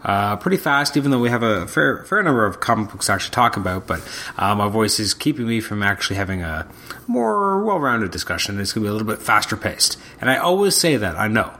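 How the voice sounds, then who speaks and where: A man's voice: fairly low pitch, big pitch swings, fast, sharp tone. A man hosting his own podcast, talking casually and hesitantly while fighting a cold and losing his voice. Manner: casual, hesitant with many ums. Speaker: podcast host. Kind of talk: solo comic review podcast.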